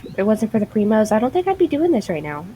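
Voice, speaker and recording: A woman's voice: on the high side, very animated, fast, dark tone, slight background noise. A woman livestreaming a game, chatting casually with informal asides. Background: faint game music.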